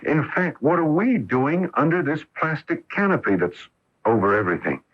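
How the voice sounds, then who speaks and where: An actor playing a bewildered, curious astronaut husband in a radio drama, excitable and halting when startled. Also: male voice, fairly high pitch. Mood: startled, uneasy. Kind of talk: 1980s radio drama acting. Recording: dull lo-fi AM radio broadcast.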